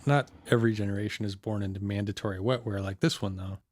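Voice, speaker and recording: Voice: sits low, fairly even intonation, fast talker, darkish tone, no room sound. A performer playing a cynical, jaded computer hacker who lives off-grid, talking in a dry, blunt, casual way in an audio drama. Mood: cynical, irritated. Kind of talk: naturalistic audio drama dialogue.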